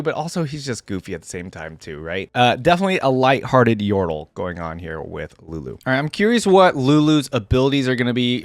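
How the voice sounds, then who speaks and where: A man's voice: mid-range voice, no room sound, clean studio sound. A man hosting a reaction video, chatting casually and reading game text aloud as he comments.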